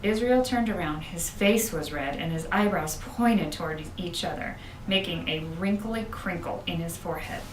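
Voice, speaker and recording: A woman reading a children's book aloud with expressive storytelling, as a school principal would to pupils. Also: on the low side, moderate pace, hardly any pauses. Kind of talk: children's audiobook narration. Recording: roomy, distant, noisy home-style recording.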